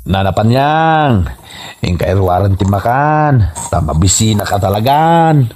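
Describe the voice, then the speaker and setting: An actor playing a hungry, sheepish village husband who complains and freeloads, in a comedy radio drama. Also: male voice, on the low side, big pitch swings, unhurried, darkish tone. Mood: hungry, pleading.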